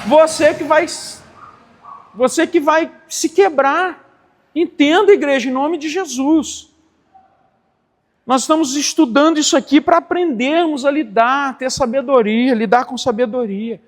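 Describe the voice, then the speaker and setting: A man in his late forties preaches in a church, his voice sitting unusually high for a man. He drives points home by repeating phrases, and the sanctuary's long reverb trails after each line. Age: 40s. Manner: emphatic, repeats phrases for stress. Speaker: pastor. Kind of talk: church teaching sermon.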